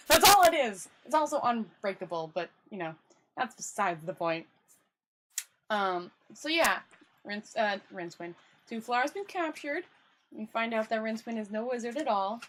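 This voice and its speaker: A woman storyteller reading a book aloud informally, stumbling over words and correcting herself, with casual commentary. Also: on the high side. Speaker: storyteller, channel host.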